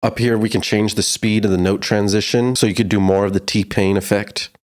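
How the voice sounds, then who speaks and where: Low-pitched man giving a casual tutorial. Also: little pitch movement, a little fast, a little mellow. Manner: informal, explains step by step. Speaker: music production tutor.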